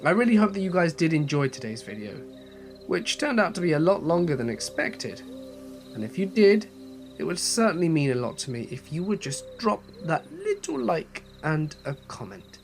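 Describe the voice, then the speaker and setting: A man narrating horror stories as a channel host, reading in a measured, steady way with a voice slightly off from a cold. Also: fairly high pitch. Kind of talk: scripted horror story narration.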